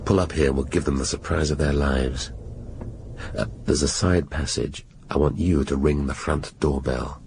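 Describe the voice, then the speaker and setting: An actor playing a brusque, middle-aged English senior police detective with an impatient, wry manner in a radio drama. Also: male voice, very deep voice, steady tone, normal speed, creaky, background noise. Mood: wry, brisk. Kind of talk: British radio drama acting.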